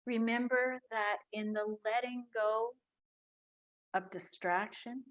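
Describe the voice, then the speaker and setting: Slow, soft-spoken woman guiding meditation. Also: fairly high pitch. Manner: slow, soft, calm, long pauses. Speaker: meditation guide. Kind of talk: guided meditation.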